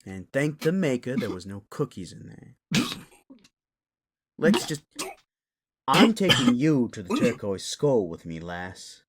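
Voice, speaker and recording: A man playing a wry, good-natured, protective comrade in an audio drama, with an animated delivery. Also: mid-range voice, slow, long pauses, slight background noise. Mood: wry, exasperated.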